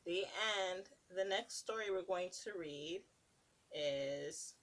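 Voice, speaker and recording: A woman's voice: on the low side, takes it slowly. A woman preschool teacher reading a picture book aloud to young children, stopping to ask them questions. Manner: sing-song, asks children questions between pages.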